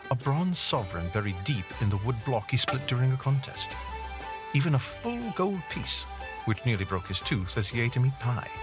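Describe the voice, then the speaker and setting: A man reading scripted storybook narration as the narrator of an audio drama. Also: mid-range voice.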